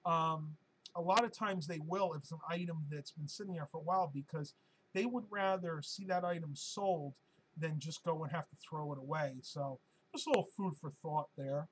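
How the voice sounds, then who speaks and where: A man with a hoarse, fading voice hosts a casual live-stream Q&A, answering viewer questions in a chatty, rambling way with plenty of ums and uhs. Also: on the high side, normal speed, fairly bright tone, a little hiss or hum, rough recording. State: hoarse, losing his voice.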